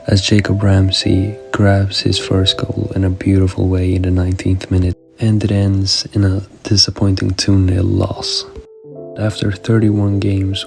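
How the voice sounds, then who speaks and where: Sick young man with a raspy low voice. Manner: casual, chatty recap with asides. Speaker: gaming YouTuber narrating career mode. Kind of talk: game commentary voice-over.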